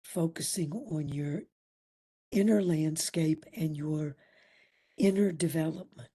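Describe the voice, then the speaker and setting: A woman leads a guided meditation in a soft-edged, breathy voice. Her delivery is very slow and soothing, with long pauses between short phrases, and her tone is dark and mellow. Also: low voice. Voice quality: breathy, at times near a whisper. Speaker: meditation instructor.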